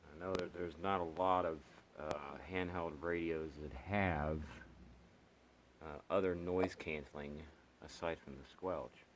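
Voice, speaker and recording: A man reviewing gear as a hobbyist in a casual, unscripted, halting and rambling way, with pauses as he explains. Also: deep voice, steady tone, slight background noise, poor audio.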